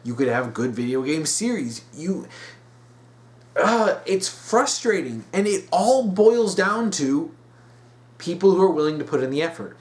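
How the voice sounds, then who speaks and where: A man hosting a solo opinion video, speaking off the cuff in an emphatic, halting way and repeating himself to stress his points. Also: a little high, sing-song. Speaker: host of a weekly topic video series. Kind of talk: unscripted solo opinion commentary.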